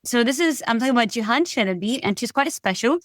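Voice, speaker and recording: Enthusiastic female podcast host, slightly high voice, dry close recording. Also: expressive, quick pace, dry recording. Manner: enthusiastic, upbeat, laughs easily. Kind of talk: casual interview hosting.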